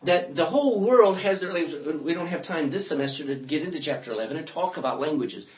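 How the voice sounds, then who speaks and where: Animated man teaching a Bible class. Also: a little high, quick pace, muffled recording. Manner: animated, joking, thinking aloud. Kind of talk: classroom lecture.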